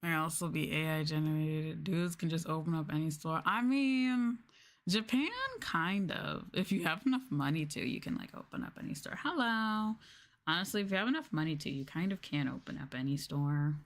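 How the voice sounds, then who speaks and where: A woman streamer chatting casually in a soft-spoken ASMR style, rambling and swearing as she goes. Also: low-pitched, moderate pace. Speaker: VTuber streamer.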